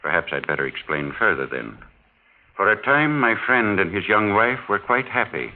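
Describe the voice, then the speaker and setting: An actor playing a wealthy older husband in a 1940s radio mystery, formal and measured, falsely genial with quiet menace. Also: male voice, lowish voice, sing-song, gravelly. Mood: insinuating. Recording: muffled, noisy 1940s radio recording.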